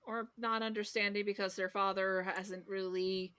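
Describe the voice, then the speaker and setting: A woman's voice: mid-range voice, level tone, moderate pace, bright, forceful tone, clear, resonant tone. A woman chatting informally and bluntly about film as a podcast panelist. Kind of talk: casual film discussion.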